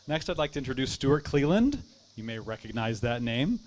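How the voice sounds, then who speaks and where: A man acting as master of ceremonies, briskly thanking one speaker and introducing the next. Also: medium pitch, very animated, darkish tone. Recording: distant mic outdoors, faint crickets.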